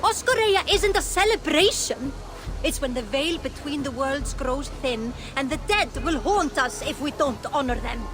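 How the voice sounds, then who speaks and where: An actress playing a blunt, bossy Norse village woman in a video game, brisk and scolding. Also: sits high, lively intonation. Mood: scolding, firm. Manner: brisk, scolding, matter-of-fact. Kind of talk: dramatic video game acting.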